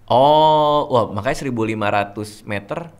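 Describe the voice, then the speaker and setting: A man in his forties hosting a casual chat, relaxed and slangy, repeating words for emphasis. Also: medium pitch, normal speed. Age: 40s. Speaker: host.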